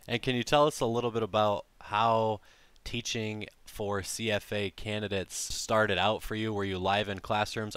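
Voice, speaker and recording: Low smooth-voiced male interviewer. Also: fairly bright tone, no room sound. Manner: measured, long framing questions. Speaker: host, interviewer. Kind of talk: interview questions.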